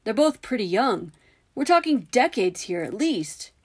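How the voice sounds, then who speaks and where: An indignant teenage boy wizard from a storybook, acted out by a woman narrator. He speaks in emphatic, scornful bursts, loud and exasperated, with sarcasm on top. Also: a little high, big pitch swings, measured pace, husky, pitched above the performer's own voice, full character voice. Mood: incredulous. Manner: emphatic, sarcastic, incredulous outbursts.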